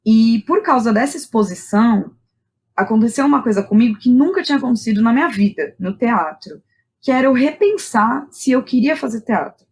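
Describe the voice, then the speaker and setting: A woman's voice: on the high side, expressive, fairly quick, darkish tone. Brazilian woman talking candidly, casual and informal. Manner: candid, informal, with frequent slang and tag questions. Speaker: guest, playwright and director. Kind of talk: casual personal storytelling in a podcast interview.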